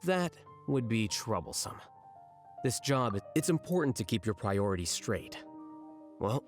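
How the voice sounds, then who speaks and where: An actor playing an aloof, blunt young scholar in a video game, speaking in a dry, deadpan, self-assured way. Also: male voice, mid-range voice, measured pace, faint music behind. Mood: matter-of-fact. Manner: deadpan, dry, matter-of-fact.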